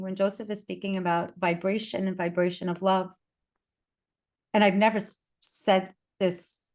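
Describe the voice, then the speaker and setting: Lady hosting a spiritual chat show, chatty, lots of likes and ums, medium pitch. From Brooklyn, New York. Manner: chatty and warm, with filler words and laughter. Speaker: podcast host. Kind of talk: casual conversation.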